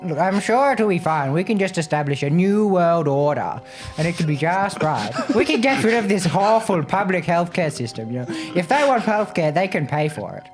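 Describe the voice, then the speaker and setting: A man's voice: high voice, animated, moderate pace. A man playing in a tabletop game with wry, joking, casual banter in character, music behind him.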